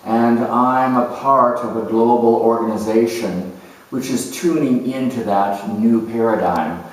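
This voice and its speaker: A serene, high-minded male visionary, dramatized by a man speaks solemnly and with measured reverence. The delivery is that of an idealist on stage. It echoes heavily, heard a little way from the microphone. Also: a little mellow. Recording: echoey room, distant microphone.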